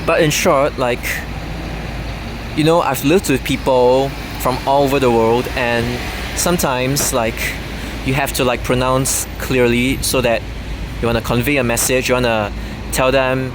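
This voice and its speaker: A man's voice: medium pitch, fairly even intonation, unhurried, background noise. A man talking casually to camera in an unscripted, rambling way, full of fillers and restarts. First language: English. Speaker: vlogger.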